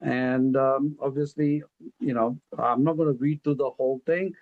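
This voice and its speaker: A man with an Indian accent presenting an investment pitch on a video call, speaking slowly and deliberately with many fillers. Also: steady tone, no echo, phone-quality sound. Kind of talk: casual webinar presentation.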